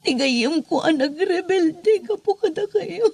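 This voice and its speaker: A very high-voiced daughter acted by a woman in a melodramatic audio drama. She is anguished and reproachful, pleading emotionally with her father. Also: animated, measured pace, vocal fry, a little mellow, no room sound, heavily acted. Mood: tearful, reproachful.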